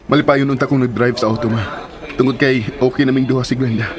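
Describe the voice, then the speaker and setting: A man's voice: medium pitch, steady tone, fast, close to an everyday voice. A man playing an earnest, lovestruck son confiding in his mother in a radio drama. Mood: happy, earnest.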